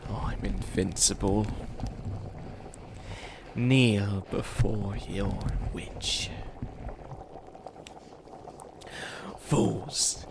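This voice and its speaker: A man playing a grandiose but bumbling evil witch in a comedic ASMR roleplay, breathy and often near a whisper. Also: fairly low pitch, noisy background, heavily acted. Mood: grandiose menace. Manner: theatrical villain declarations breaking into rambling, self-doubting asides.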